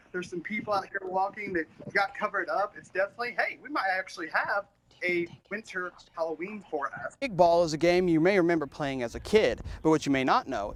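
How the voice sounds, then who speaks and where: Young American male news reporter, faint background noise. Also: a little high. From Oklahoma, United States. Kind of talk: news reporting, live stand-ups and scripted narration.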